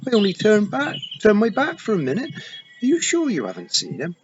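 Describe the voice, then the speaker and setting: A man's voice: high voice, normal speed, pitched above the performer's own voice. A man voicing a frantic mother who talks urgently and pleadingly. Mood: panicked. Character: frantic mother searching for her child. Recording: muffled with steady hiss.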